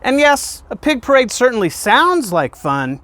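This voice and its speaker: A man reading a children's picture book aloud in an expressive storytelling way, with emphatic pauses and questions put to the listener. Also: very high voice, no echo. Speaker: guest reader, fire department deputy chief.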